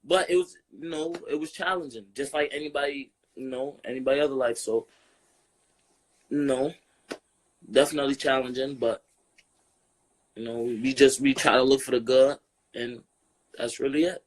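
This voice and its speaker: A man's voice: medium pitch, fairly even intonation, moderate pace, pauses often, low-quality recording. Laid-back New York man, casual talk. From Brooklyn, New York. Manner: laid-back, often adds "you feel me?". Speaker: boxer, interview guest. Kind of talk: casual interview chat.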